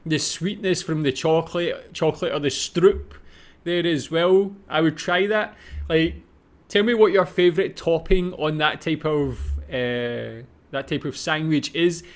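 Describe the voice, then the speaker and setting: A man's voice: a little high, moderate pace. A man with a Scottish accent giving casual, musing off-the-cuff commentary as host of a reaction video. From Scotland.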